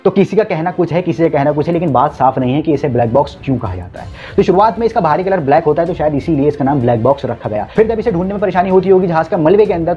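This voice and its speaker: Fast-talking man explaining, dull lo-fi sound. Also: fairly high pitch, warm tone. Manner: repetitive, emphatic point-by-point explaining. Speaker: presenter of an explainer video. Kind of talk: educational explainer to camera. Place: indoors, small room with a plain wall. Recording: dull lo-fi sound with music behind. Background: background music.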